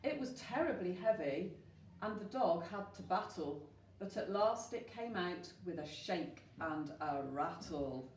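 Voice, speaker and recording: A woman reading a rhyming children's story aloud, expressive and putting questions to the listeners. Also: lowish voice, a little slow. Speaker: storyteller at a school. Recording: roomy, distant mic with faint noise.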